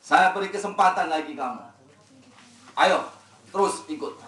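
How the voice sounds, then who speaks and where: A storyteller voicing the prophet Khidir as a calm, stern older man who speaks in a measured, scolding way. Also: mid-range voice, close to an everyday voice. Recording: roomy, distant, faint ambience.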